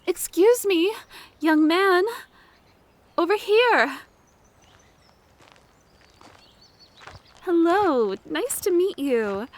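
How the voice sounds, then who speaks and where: A woman's voice: extremely high pitch, lively intonation, slow, exaggerated voice. A woman playing a flirtatious, mature, motherly cabin owner in an ASMR roleplay, coaxing and doting with pet names. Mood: friendly, worried.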